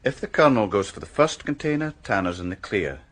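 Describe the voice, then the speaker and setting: An actor playing a shrewd, confident senior detective in a radio drama, laying out plans in a measured, husky voice. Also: male voice, fairly low pitch, animated. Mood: sly, confident. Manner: measured, assured, explanatory. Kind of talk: naturalistic radio drama dialogue.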